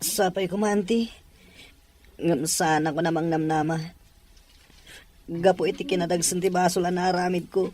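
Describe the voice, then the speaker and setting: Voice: medium pitch, steady tone, normal speed, pauses often, a little mellow, lightly acted. A performer playing a remorseful, pleading young male prisoner in an audio drama, with an Ilocano accent.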